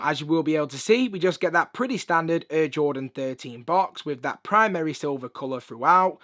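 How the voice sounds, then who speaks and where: A hoarse man, chatting upbeat through a sneaker review in a room full of shoes. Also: a little high, a bit bright. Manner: chatty, upbeat, lots of filler phrases. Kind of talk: casual video review. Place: room with shoe collection.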